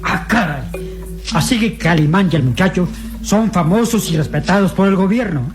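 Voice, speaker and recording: High-voiced army sergeant. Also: male voice, expressive, moderate pace. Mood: surprised. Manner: exclamatory, respectful subordinate. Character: deferential, astonished male army sergeant. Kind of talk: Latin American radio drama acting. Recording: radio drama with clear background music.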